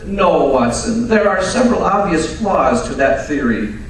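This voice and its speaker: A man plays a coolly superior radio-play detective with a fairly high voice. He lectures in a measured, pedantic way. The sound is very echoey and distant from the mic, as on a live stage. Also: animated, slight background noise. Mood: pedantic, composed. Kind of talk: old-time radio drama acting.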